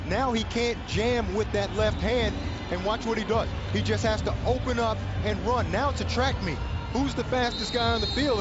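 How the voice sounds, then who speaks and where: A man giving color commentary on a football game, breaking down plays in a plain, emphatic way. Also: sits high. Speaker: color commentator, former player. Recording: muffled broadcast audio with loud noise.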